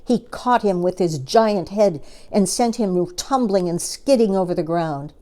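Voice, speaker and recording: A woman's voice: medium pitch, expressive, a little slow, warm tone. A woman reading a children's story aloud as a storyteller, expressively and with dramatic emphasis.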